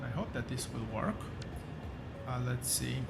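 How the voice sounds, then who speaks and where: A man with a rough voice, thinking aloud as he hosts a relaxed gameplay commentary, with many fillers and pauses. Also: medium pitch, over loud music. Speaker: gaming channel host.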